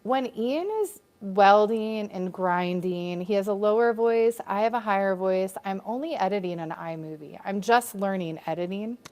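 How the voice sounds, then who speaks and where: A woman's voice: medium pitch, normal speed, few pauses, a little mellow. Chatty upbeat American woman. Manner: chatty, upbeat, reads out viewer comments. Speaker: co-host of a live stream. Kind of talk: casual live-stream chat.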